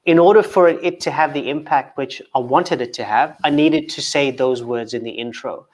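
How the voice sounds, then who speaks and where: A man's voice: mid-range voice, normal speed, slight background noise. A man who grew up in Kenya and speaks English as a second language, a guest on a video call chat, often repeating words and restarting with a stutter. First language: not English. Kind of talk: casual video call interview.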